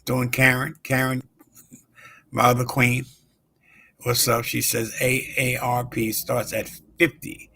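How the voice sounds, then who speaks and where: Gravelly man in his sixties rambling. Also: medium pitch, completely flat delivery. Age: 60s. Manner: rambling, halting, many fillers; greets viewers warmly by name. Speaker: show host. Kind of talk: casual live-stream talk.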